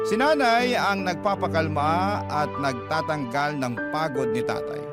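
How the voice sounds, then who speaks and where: Filipino male storyteller over prominent music. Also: medium pitch. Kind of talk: dramatic first-person scripted narration.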